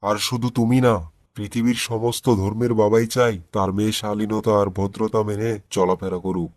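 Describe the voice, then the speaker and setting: A man voicing a pious, worried middle-aged father who gently pleads and admonishes in a cartoon. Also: lowish voice, level tone, normal speed, no echo, studio quality, lightly acted. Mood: pleading. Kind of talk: cartoon dialogue acting.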